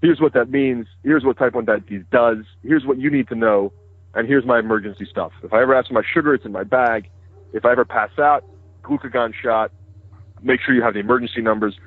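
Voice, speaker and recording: A man's voice: mid-range voice, a little fast, husky, no echo. A man in his thirties, a guest in an interview, speaking openly and matter-of-factly. His first language is Hebrew. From United States. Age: 30s. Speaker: interview guest, news correspondent. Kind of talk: phone interview conversation. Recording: dry, tinny phone line.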